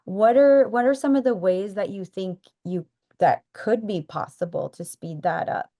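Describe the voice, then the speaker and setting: A woman's voice: medium pitch, normal speed, warm tone. A woman with an American accent hosting a podcast interview, affirming and enthusiastic as she asks questions. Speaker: podcast host.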